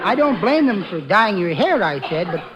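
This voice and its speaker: An actor playing a timid, fussy henpecked husband with a mild, prim, hesitant manner in 1940s radio comedy style. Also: male voice, high voice, big pitch swings, normal speed, crowd noise. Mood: sly, timid. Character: meek, timid henpecked little husband, fussy bird lover. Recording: noisy, dull old radio recording.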